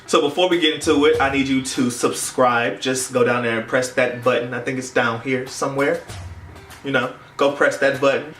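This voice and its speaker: A man's voice: medium pitch, a bit bright. A young man tells a story in a casual, playful, dramatic vlog style with slangy phrasing. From United States. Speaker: YouTube vlogger. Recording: roomy echo, distant mic, music behind.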